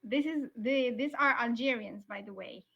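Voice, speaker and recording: A woman moderating an online Q&A, talking briskly with many filler sounds over a video call. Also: a little high, vocal fry, a bit bright. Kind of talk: hosting an online Q&A. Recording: dull, lo-fi call audio.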